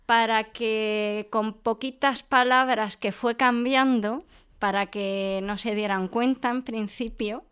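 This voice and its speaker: Unhurried, clear-voiced woman professor. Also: fairly high pitch, fairly even intonation, a bit bright. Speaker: professor being interviewed about classical radio theatre. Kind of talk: conversational interview, explaining.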